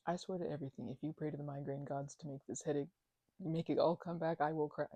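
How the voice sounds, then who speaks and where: A woman's voice: sits low, fairly even intonation, fast, dark tone, no echo. A woman performing a one-sided ASMR roleplay as a chatty, affectionate housemate, talking casually in a playful, teasing, stammering way. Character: chatty affectionate female housemate recovering from migraine.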